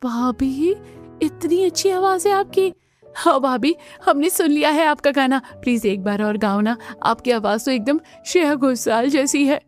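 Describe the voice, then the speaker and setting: Bubbly, high-voiced young sister-in-law. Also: female voice, measured pace, faint music behind. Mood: admiring. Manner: gushing, eager. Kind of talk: Hindi family cartoon dubbing.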